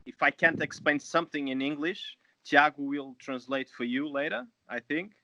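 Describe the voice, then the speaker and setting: A man in his late twenties with a Portuguese accent, speaking English as a second language in a halting, casual way over a video call. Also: a little high, bright, forceful tone, dry recording. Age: 20s. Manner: halting, many fillers and restarts. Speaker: guest actor. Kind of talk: casual interview. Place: small room at home, indoors. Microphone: video call webcam. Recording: dull video call audio, dry.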